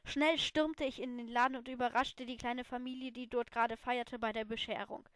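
A woman reading her own fan fiction aloud as an amateur, in expressive first-person narration. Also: on the high side, moderate pace, dry recording.

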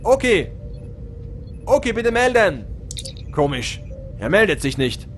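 A man acts out a male starship captain for a comedic space radio play. His voice sits high and he is excitable and wisecracking. Soft music plays behind him. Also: slow, full character voice. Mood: pompous, businesslike. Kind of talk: comedic sci-fi audio drama.

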